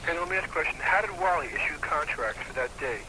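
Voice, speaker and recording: A man's voice: a little high, expressive, quick pace, background noise. A man working as a booking agent, asking blunt questions on an old taped business phone call. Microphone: telephone.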